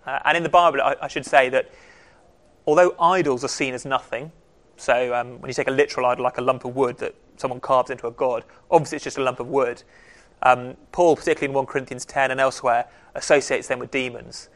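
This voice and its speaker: A man's voice: mid-range voice, steady tone, fast talker, a bit bright. A man leads a group through a Bible passage, thinking aloud as he goes. His voice sits in a plain middle register, and his delivery is loose and conversational, with hesitations and self-corrections. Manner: conversational, with frequent ums and restarts. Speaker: Bible study leader. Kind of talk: casual teaching talk.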